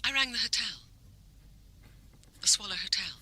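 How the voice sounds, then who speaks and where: An actress playing a sheepish British wife in a radio drama, confiding hesitantly over the telephone. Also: female voice, medium pitch, animated, moderate pace, sharp tone, husky, full character voice. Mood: sheepish, anxious. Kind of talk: naturalistic radio drama acting.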